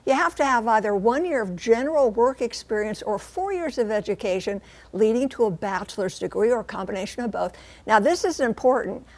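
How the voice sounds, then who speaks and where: An American woman reporting and explaining the news on television, with emphatic, deliberate phrasing. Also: a little high, darkish tone.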